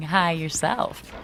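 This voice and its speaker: A woman's voice: on the low side, vocal fry, a bit bright, a little hiss or hum, exaggerated voice. A woman acting a playful, teasing young college theater student in a naturalistic radio drama.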